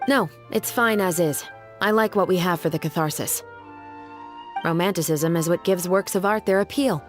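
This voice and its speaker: A woman acting a resolute young female officer of the law in a game cutscene. Her voice sits fairly low and rings with long reverb over music, every sentence measured, earnest and formal. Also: lightly acted. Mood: calm, thoughtful. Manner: earnest, deliberate, formal speech.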